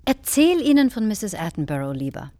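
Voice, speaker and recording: A woman's voice: medium pitch, very animated, fairly quick, warm tone, no echo, natural voice. Woman playing brittle sarcastic wife, radio drama, pointed politeness, very varied intonation, close dry sound. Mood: insistent, mock-polite. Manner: pointed, mock-polite, theatrical. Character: brittle, sarcastic wife and insistent hostess telling anecdotes. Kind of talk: German radio play acting.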